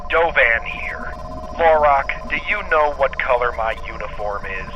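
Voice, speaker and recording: A man's voice: medium pitch, animated, a little slow. A man playing a blunt, impatient starship captain over an intercom in a sci-fi audio drama, curt and sarcastic. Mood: sardonic, impatient. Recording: muffled comm channel, noisy ambience.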